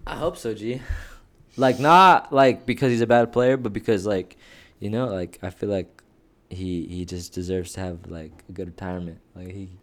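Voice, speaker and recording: A young man with a fairly low voice talks loosely on a podcast. He rambles, restarts his thoughts and peppers every sentence with slang. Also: moderate pace. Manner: slangy, rambling, says "bro" and "dog" a lot. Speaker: podcast co-host. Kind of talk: casual chat.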